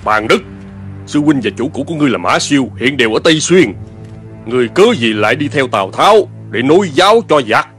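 A man playing a stern, commanding veteran general in an animated war epic, speaking with declamatory authority. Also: medium pitch, quick pace, natural voice. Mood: stern, reproachful. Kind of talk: Vietnamese TV dub of an animated historical war epic. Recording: dramatic music behind the voice.

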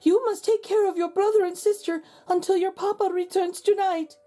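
A woman's voice: steady tone, warm tone. A woman voicing an urgent, worried village señora in a storybook, speaking anxiously. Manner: high, hurried, urgent. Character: urgent village señora bearing worrying news.

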